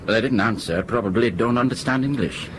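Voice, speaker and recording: A man's voice: medium pitch, fairly quick, a little hiss or hum, close to an everyday voice. A man acting in a radio drama as an earnest airline crewman reporting in a hesitant, deferential manner. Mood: earnest, worried.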